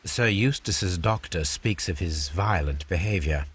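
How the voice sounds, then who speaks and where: An actor voicing a calm, precise gentleman detective who speaks in a measured, deliberate way in a video game. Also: low voice, husky, exaggerated voice.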